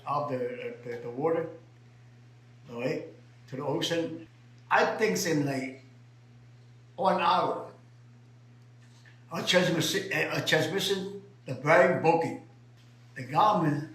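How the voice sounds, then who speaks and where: An older man from Vietnam with a Vietnamese accent tells his life story in halting, broken English full of repeats, sometimes rising to emphatic outbursts. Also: on the high side, a bit bright, roomy sound, low-quality recording. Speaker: interviewee. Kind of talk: personal storytelling.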